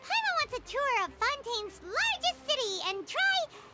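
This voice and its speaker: A woman voicing a tiny childlike fairy companion in a video game, squeaky, perky and eager, with music behind her. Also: very animated, measured pace, exaggerated voice. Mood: helpful, eager. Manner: perky, refers to herself in third person. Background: prominent music.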